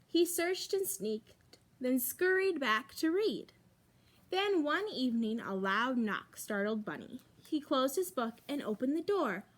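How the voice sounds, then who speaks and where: High, very animated woman reading a picture book. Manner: expressive, emphatic pauses for young listeners. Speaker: librarian reading a storytime. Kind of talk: animated picture-book read-aloud for children.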